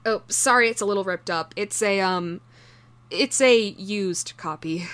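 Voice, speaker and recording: Woman acting a halting, bright-voiced obsessive doppelganger ex. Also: mid-range voice, close to an everyday voice. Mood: nervous, ingratiating. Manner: halting, stammering, soft-spoken in menace. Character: obsessive shapeshifting doppelganger posing as a nervous, pleading ex. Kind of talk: intimate horror audio roleplay monologue.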